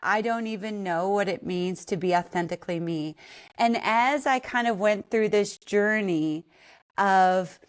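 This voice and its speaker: A woman's voice: mid-range voice, a little slow, smooth. A middle-aged woman from Canada, hosting an unscripted solo podcast in a conversational way that drifts into tangents and corrects itself. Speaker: podcast host.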